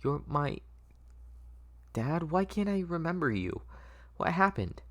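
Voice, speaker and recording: A man's voice: a little high, very animated, takes it slowly, voice pushed up, heavily acted. A man voicing a small, frightened, naive little girl who speaks hesitantly and stammers, in a story read-through. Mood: dazed, scared.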